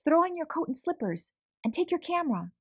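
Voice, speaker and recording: A woman voicing a cheerful adult aunt who teaches photography, speaking in an eager, lively way. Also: very high voice, a little fast, no room sound, clean studio sound, voice pushed up.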